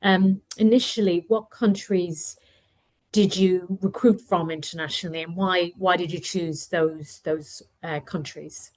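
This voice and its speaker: A woman's voice: fairly low pitch, steady tone, measured pace. A woman hosting a webinar over a video call, friendly as she prompts her guests with questions. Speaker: webinar host.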